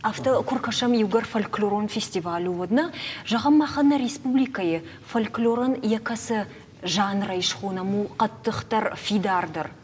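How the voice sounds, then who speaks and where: A woman's voice: medium pitch, normal speed, a little mellow, slight background noise. A woman with an Ossetian accent, presenting and interviewing as a television host.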